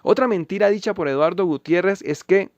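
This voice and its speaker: A man reading Bible verses and giving scripted commentary, measured and emphatic. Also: sits high, no echo. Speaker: channel host refuting a pastor.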